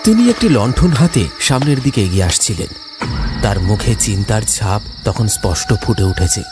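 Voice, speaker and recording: A man's voice: on the low side, lively intonation, moderate pace. A man reads a scripted horror story in a dramatic, suspenseful manner. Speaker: narrator. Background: music, insect sounds.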